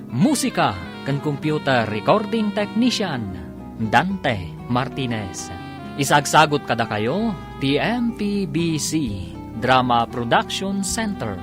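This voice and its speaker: A man with an Ilocano accent narrating and announcing a radio drama in a dramatic, scripted style, drawing out the titles theatrically. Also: mid-range voice. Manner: theatrical, drawn-out title calls. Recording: radio narration over guitar music.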